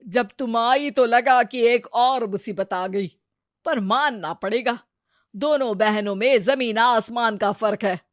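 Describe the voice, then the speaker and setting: A woman voicing a sharp-tongued older mother-in-law in a cartoon, grumbling and sarcastic. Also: a little high, slow, no echo, clean studio sound.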